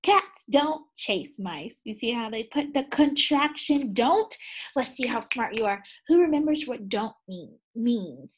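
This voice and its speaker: A woman teacher reading a picture book aloud to young children, pausing to ask them questions, with gasps and sighs. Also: fairly high pitch, animated, husky, low-quality recording. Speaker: second grade teacher.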